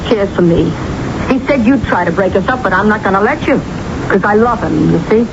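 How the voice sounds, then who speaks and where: A woman's voice: medium pitch, a little fast, creaky, close to an everyday voice. An actress in a radio drama playing a brash, defiant young working-class woman with blunt, slangy speech. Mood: insistent, hurt. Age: young adult. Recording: dull, very noisy old radio broadcast.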